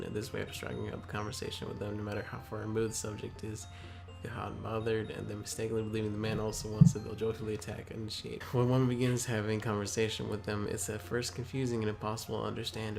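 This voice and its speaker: A man's voice: lowish voice, creaky. A man casually reading aloud as a co-host, with joking commentary along the way. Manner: joking, easily amused.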